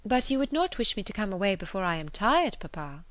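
A woman reading a gentle young daughter. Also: mid-range voice, big pitch swings, a little fast, no room sound, close to an everyday voice. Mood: coaxing.

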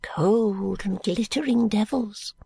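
A woman's voice: slow, vocal fry, warm tone, breathy edge, no echo, full character voice. A woman doing a frail, rambling old woman who whispers secrets. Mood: confiding. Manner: high fluttering swoops dropping into whispers.

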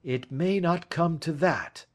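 Man voicing a precise, higher-pitched gentleman detective. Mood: grave, reassuring. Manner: crisp, deliberate, gently grave. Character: calm, precise, authoritative male detective. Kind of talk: audiobook character acting.

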